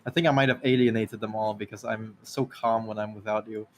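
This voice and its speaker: A man's voice: fairly low pitch, steady tone, brisk. Chill guy w a taiwanese accent rambling on stream, laughs a lot, bit of hiss behind. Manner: laughs often, rambling anecdotes. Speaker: stream co-host. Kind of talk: casual stream chat. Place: lives in Ireland.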